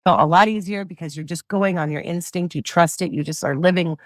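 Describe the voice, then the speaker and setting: A middle-aged woman with a low voice, a singer-songwriter guest chatting loosely in an interview, full of fillers and restarts. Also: a little fast, no room sound, clean studio sound. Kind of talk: casual interview chat.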